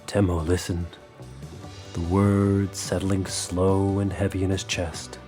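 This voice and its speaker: A man reads scripted, dramatic story narration. His voice is low, and music plays beneath his words. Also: level tone, takes it slowly. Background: music under the voice.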